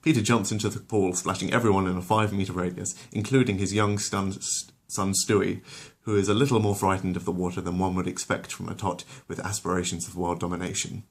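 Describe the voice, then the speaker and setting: A man's voice: deep voice, little pitch movement, fairly quick. A man reading scripted comic story narration in a wry, wordy, mock-literary way.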